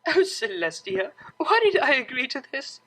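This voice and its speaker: A refined young cartoon pony mare, as a narrator puts her on, speaking high and bright with big swings in pitch. She is prim and formal, easily flustered and quick to giggle. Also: very animated, full character voice, poor audio. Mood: mock dismay.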